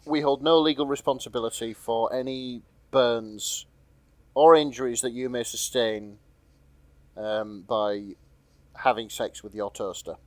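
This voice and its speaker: A man's voice: mid-range voice, fairly even intonation, measured pace, no echo. A man co-hosting a podcast in casual, sweary, joking banter. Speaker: podcast co-host.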